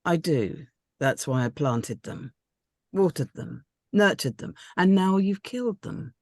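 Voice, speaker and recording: Woman acting an animated, exasperated older mother. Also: low voice, a little slow, no echo, studio quality. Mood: reproachful. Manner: scolding, emphatic. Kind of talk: script read-through.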